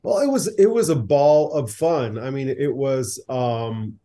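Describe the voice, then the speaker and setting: A man's voice: medium pitch, unhurried, mellow. A man, a director and writer, guesting on a podcast, easygoing, laughing often as he tells stories. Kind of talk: casual interview.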